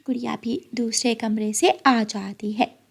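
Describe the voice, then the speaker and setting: Woman narrating a kids story, slow, slightly high voice, a bit husky and lively. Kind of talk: scripted children's story narration.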